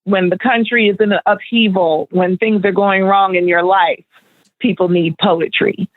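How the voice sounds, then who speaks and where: A woman's voice: medium pitch, moderate pace, no room sound. Middle-aged woman on a tinny phone line. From Barbados. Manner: relaxed, laughing, storytelling with fillers. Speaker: guest, spoken word event organizer. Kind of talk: radio interview answers.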